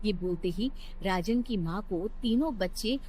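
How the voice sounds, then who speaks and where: A woman's voice: mid-range voice, measured pace, a little mellow, a little hiss or hum. A woman reading scripted narration for a children's cartoon story.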